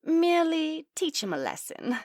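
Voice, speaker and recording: Woman as a quietly commanding noble bride. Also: high voice, wildly swooping pitch, normal speed, dry recording, clean studio sound, heavily acted. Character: secretive, commanding noble bride in fantasy tale. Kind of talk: fantasy audio drama acting.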